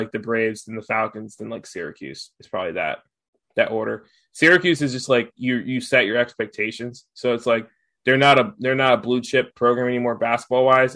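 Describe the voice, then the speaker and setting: A man's voice: medium pitch, fairly bright tone. A young man, a guest on a sports podcast, chatting casually with many fillers and restarts. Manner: filler-heavy, lots of "like".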